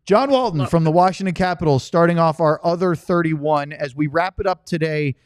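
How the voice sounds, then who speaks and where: A man's voice: on the high side, fairly quick, clear, resonant tone, dry recording. A middle-aged man hosting a casual sports podcast, chatty and full of anecdotes. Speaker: podcast host and sports broadcaster.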